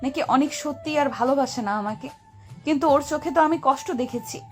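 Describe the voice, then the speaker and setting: A woman's voice: high-pitched, quick pace, close to an everyday voice. A woman acting a heartbroken but determined young rich boss in an emotional romantic audio story. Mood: hurt, resolving. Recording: music behind the voice.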